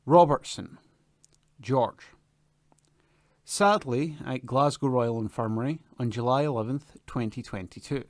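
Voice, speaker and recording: A man with a mid-pitched voice reads printed announcements and articles aloud. He works from a script as a volunteer newspaper reader, in a dry recording made at home. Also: a little slow, long pauses, no room sound.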